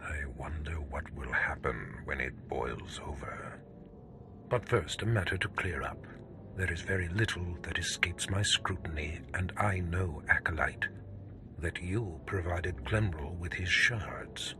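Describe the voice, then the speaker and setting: An actor playing a stern, scheming Sith lord in a video game, speaking in a measured, imperious, menacing manner. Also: male voice, deep voice, background noise. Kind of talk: scripted video game drama.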